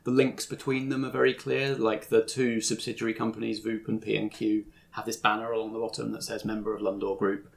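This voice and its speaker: Male game master, lower register, informal explanatory narration for tabletop roleplay. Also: flat delivery, fairly quick, vocal fry, few pauses.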